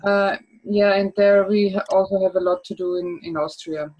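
A woman's voice: medium pitch, steady tone, normal speed, muffled recording. Hesitant woman on a video call. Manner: hesitant, many fillers and restarts. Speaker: educator sharing her project. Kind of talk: casual video-call talk. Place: home room with bookshelves. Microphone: laptop webcam mic on a video call.

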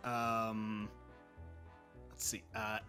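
A man's voice: lowish voice, completely flat delivery, extremely slow, pushed and bright, soft background music. A man chatting casually in a group game, hesitant as he thinks aloud. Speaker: player in a word game. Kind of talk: casual chat.

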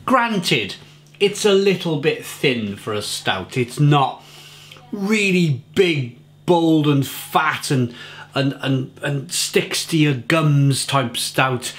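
A man's voice: a little high, animated, takes it slowly, a bit bright. A man in his forties from England, reviewing a beer to camera in his home kitchen, chatty and often repeating words as he searches for them.

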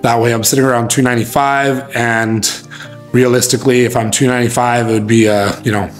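A man in his thirties, a bodybuilding coach, chatting casually to camera in a small room, his voice a little raspy from a cold, rambling with many fillers. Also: mid-range voice, little pitch movement, brisk, soft background music. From Canada. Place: small bright room indoors.

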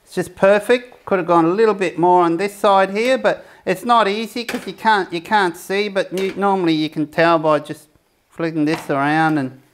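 A man's voice: high voice, steady tone, normal speed. Chatty man demonstrating camp cooking. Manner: chatty, rambling, self-correcting. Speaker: camping gear reviewer.

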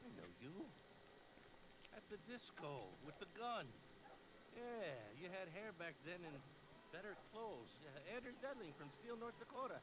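A man's voice: high-pitched, sing-song, moderate pace, noisy background. A man voicing a friendly middle-aged retired small-town cop in a game, chatty and rambling, with an American accent. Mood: surprised, friendly. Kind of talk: video game cutscene dialogue.

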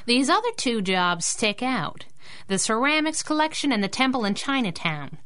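Sardonic crimefighting gal, bright punchy voice with lively swings, old-time radio adventure. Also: female voice, a little high, moderate pace. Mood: wry. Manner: sassy, sardonic, slangy. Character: wisecracking, tough young female superhero sidekick. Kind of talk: 1930s-style radio drama acting.

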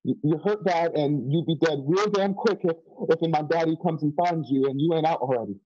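An earnest young cowboy, acted by a man, high-pitched and mellow with a husky edge. He stammers gently through a radio western in rustic speech. The audio is dull, like a video call. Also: brisk, dry recording. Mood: urgent, soothing. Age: young adult. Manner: stammering, hesitant. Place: home room on a video call.

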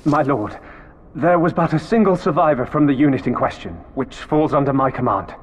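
A stiff, deferential imperial officer. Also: male voice, a little high, moderate pace, breathy edge, big-room sound. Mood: formal, deferential. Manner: stiff, formal, subservient. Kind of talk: video game cutscene voice acting.

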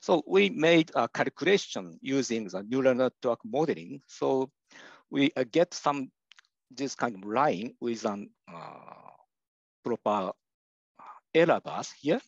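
Older male lecturer, Japanese accent, gravelly, halting delivery full of fillers; dry lo-fi sound. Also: medium pitch, expressive, no room sound. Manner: hesitant, many "uh" fillers and restarts. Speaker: university professor giving a lecture. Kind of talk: slide lecture. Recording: dull lo-fi dry recording.

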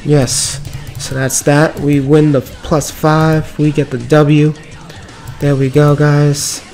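A man with a slightly raspy voice from a cold, giving upbeat, rambling commentary over mobile card game play. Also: on the high side, level tone, takes it slowly, a little mellow. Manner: upbeat, filler-heavy running commentary. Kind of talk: casual gameplay commentary. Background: background music.

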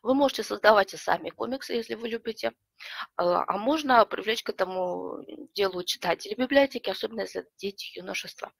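Middle-aged woman lecturing with a creaky cold voice. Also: mid-range voice, lively intonation, fast talker, no echo. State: hoarse from a cold. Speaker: webinar presenter, librarian and teacher. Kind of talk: explanatory online lecture. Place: small room with bookshelves, indoors.

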